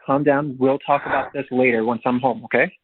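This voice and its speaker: A man's voice: mid-range voice, fairly even intonation, fairly quick. A man talking casually on the telephone, as someone called by a radio show. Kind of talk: casual phone conversation. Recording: narrow tinny phone line.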